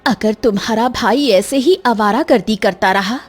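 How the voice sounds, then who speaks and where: A woman's voice: fairly high pitch, a little slow, breathy edge, faint music behind. A woman voicing a nagging village housewife and sister-in-law in a cartoon story, scolding and complaining.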